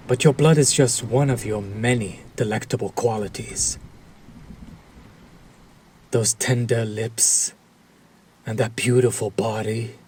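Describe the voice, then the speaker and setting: Man voicing a husky, unhurried, sadistic vampire lord. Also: medium pitch, darkish tone. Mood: seductive, possessive. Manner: silky menace, taunting, formal and theatrical. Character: sadistic, possessive centuries-old male vampire lord. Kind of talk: intimate audio roleplay drama addressed to the listener.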